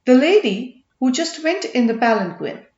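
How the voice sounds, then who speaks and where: A sneering, needling commander who mocks and probes, a middle-aged schemer , as a woman reads him. The voice sits a little high and carries a touch of room echo on a slightly muffled recording. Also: very animated, normal speed, a little mellow. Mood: mocking. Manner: derisive, needling.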